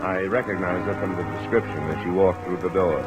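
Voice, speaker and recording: An actor playing a cool, laconic insurance investigator who narrates an old radio detective drama, matter-of-fact in delivery. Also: male voice, low-pitched, fairly even intonation, fairly quick, over loud music, natural voice, low-quality recording. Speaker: lead character and narrator.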